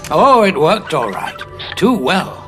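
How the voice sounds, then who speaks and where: An actor playing a grim, weary time-travelling war veteran who speaks in a cold, measured, self-assured way. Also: male voice, fairly high pitch, wildly swooping pitch, pushed and bright, soft background music, heavily acted. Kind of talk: audio drama acting.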